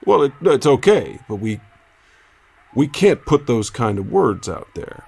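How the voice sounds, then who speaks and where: An actor playing a careful, folksy radio reporter in an audio drama, speaking politely and hesitantly to placate someone. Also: male voice, mid-range voice, sing-song, unhurried, vocal fry, a little mellow, breathy edge, exaggerated voice. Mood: tactful, nervous. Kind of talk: naturalistic audio drama acting.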